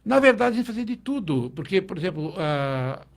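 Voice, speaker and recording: A middle-aged Brazilian man, a teacher, recalling his past in a relaxed interview, with frequent restarts and tag questions. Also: sits high, moderate pace. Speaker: guest, teacher. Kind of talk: conversational interview.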